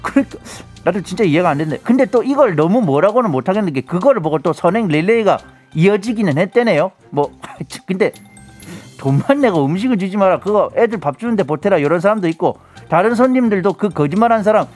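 A man's voice: high-pitched, animated, quick pace, a little mellow, faint music behind. A man who is a guest on a radio show, telling a news story in a playful, chatty way. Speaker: guest commentator. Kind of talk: animated radio chat.

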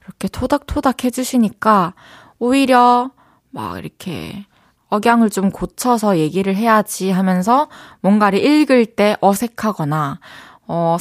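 A woman's voice: mid-range voice, no echo. A woman radio DJ with a southeastern Korean dialect, chatting and laughing as she reads listener messages in a studio. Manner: chatty, friendly, laughs often. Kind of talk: reading and answering listener messages. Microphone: studio microphone.

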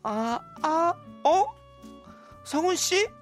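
A man's voice: very high-pitched, lively intonation, very slow, faint music behind, voice pushed up, full character voice. A man voicing a blunt, easily flustered woman of thirty-two in a radio story reenactment. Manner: flustered, prim, indignant. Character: blunt, easily flustered 32-year-old single woman telling her story.

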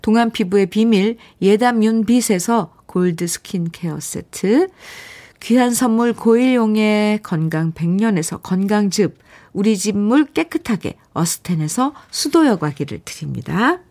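A woman's voice: mid-range voice, animated, measured pace, darkish tone. A woman hosting a radio show, chatting in a friendly way and reading listener messages aloud into a studio microphone. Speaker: radio host.

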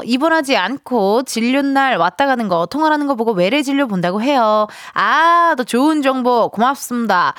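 A woman's voice: sits high, fairly bright tone, no echo, clean studio sound. Bubbly giggling woman radio DJ. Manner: giggly, playfully drops dialect fillers. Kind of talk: casual radio chat. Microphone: studio mic.

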